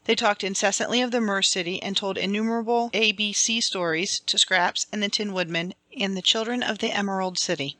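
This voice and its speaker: Woman, audiobook storyteller, bright voice, dry recording, dramatic scripted reading of a fantasy tale. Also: mid-range voice, steady tone, a little fast, few pauses, no room sound. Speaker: audiobook reader.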